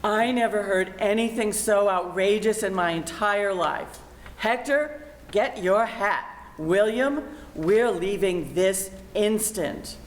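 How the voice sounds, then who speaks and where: A woman's voice: medium pitch, slow pace, natural voice. A woman playing a domineering, indignant middle-aged mother, haughty and scolding, in an old-time radio comedy. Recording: echoey room with background noise.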